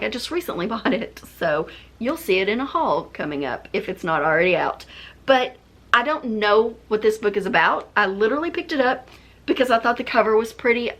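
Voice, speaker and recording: A woman's voice: medium pitch, fairly bright tone. A woman talking casually and conversationally to viewers as the host of a book review video. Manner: chatty, conversational.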